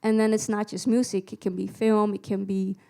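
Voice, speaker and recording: A woman's voice: mid-range voice, flat delivery, brisk, vocal fry, warm tone. A woman in her thirties from the Netherlands, a musician and activist telling her own story on a panel into a handheld mic. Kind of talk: panel talk, personal account. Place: small screening room with seated panel, indoors. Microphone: handheld microphone held close.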